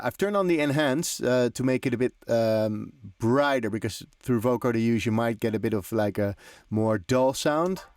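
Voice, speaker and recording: A man's voice: mid-range voice, darkish tone, dry recording, studio quality. A man hosting a music production tutorial, explaining informally as he demonstrates, in loose, conversational and often hesitant phrasing. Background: electronic drum and synth loops.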